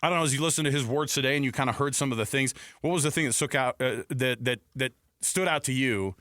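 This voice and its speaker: An American man hosting conversational sports talk radio, thinking aloud with halting repeats on a close studio mic. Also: mid-range voice, fairly bright tone, dry recording. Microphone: close studio broadcast mic.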